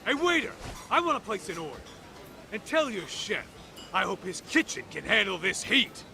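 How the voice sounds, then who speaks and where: Brash, gravelly tough guy, very animated. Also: sits high, normal speed, faint music behind, full character voice. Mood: boisterous, teasing. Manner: brash, slangy, teasing. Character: brash, cocky, gruff tough guy, casual sidekick. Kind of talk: video game cutscene acting.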